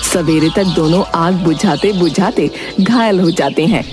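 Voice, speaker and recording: A woman's voice: lowish voice, unhurried, music in the background. A woman narrating a scripted cartoon moral story as its storyteller.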